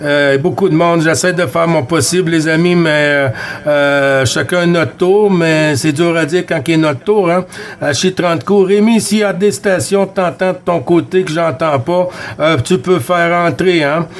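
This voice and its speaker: A man's voice: a little high, fairly even intonation, measured pace. A man with a Québécois accent working as a CB radio operator, chatting in a friendly, courteous, repetitive way with other stations. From Quebec, Canada. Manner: friendly, chatty, courteous, often repeats himself. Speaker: CB radio operator running a contact net. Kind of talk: CB radio contact chat.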